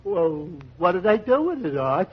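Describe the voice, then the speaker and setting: An actor playing a dim-witted, amiable barfly, hesitant and earnest, in a 1940s radio comedy. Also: male voice, high-pitched, very animated, normal speed, breathy edge, exaggerated voice. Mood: earnestly confused. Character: dim-witted, amiable male tavern regular with muddled logic. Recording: dull vintage radio recording.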